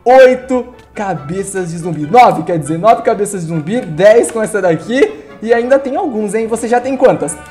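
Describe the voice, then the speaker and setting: A young man from Brazil, giving excitable, chatty gameplay commentary on a headset from his home gaming room, sounding congested from a cold. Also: high-pitched, normal speed, few pauses, soft background music. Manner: excitable, chatty, addresses viewers. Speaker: gaming YouTuber.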